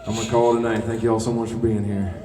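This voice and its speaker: A man's voice: fairly low pitch, level tone, very fast. Man bantering onstage in a roomy hall. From Kentucky. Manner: loose, joking, chatty with the crowd. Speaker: singer-songwriter performing live. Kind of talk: casual stage banter between songs. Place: indoor brewery venue. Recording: roomy hall-like venue sound from a distance.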